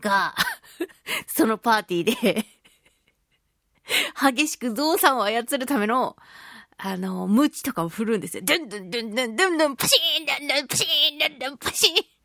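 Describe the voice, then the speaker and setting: Female radio host, very high voice, lively swings, playful solo chat, dry close studio sound. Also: fast talker, creaky, pauses often, dry recording. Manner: playful, animated, acting out sound effects. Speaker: voice actor hosting her own radio talk show.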